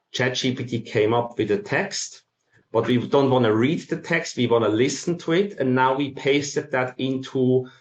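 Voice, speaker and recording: A man with a Swiss accent giving an explanatory presentation in a halting way, with frequent ums. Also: mid-range voice, lo-fi sound. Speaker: masterclass presenter. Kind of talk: explanatory conference presentation for non-technical listeners. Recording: roomy, echoing sound.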